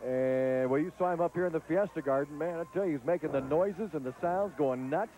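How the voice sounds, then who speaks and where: An excitable man calls the wrestling action from an arena booth, fast to hype whatever comes next. His voice sits a little high and pushes hard on every promo. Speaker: wrestling TV host and commentator. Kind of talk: excited ringside commentary and event promos.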